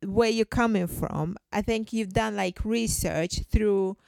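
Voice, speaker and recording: A woman's voice: medium pitch, slow pace, vocal fry, a little mellow, no room sound. A woman from Ghana, a guest in a podcast conversation, talking earnestly and leaning on "like" and "so" as fillers. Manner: earnest and chatty, leans on "like" and "so".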